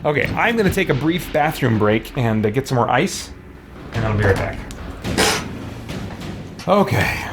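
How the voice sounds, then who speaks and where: A man's voice: medium pitch, big pitch swings, a little slow, long pauses. Man muttering through game stream over music. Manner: thinking aloud, muttering to himself. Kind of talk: casual live gameplay commentary.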